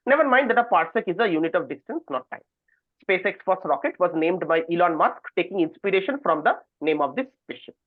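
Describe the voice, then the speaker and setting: A man's voice: sits high, animated, fast talker, no room sound. A man hosting a quiz over a voice call, reading out long questions and adding informal asides as he moderates the contestants. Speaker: quiz host. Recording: narrow phone-like online call audio.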